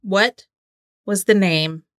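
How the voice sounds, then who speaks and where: A woman's voice: mid-range voice, takes it slowly, over music. A woman co-hosting a trivia game in casual chat, with a playful, teasing manner. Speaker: co-host of a trivia game podcast.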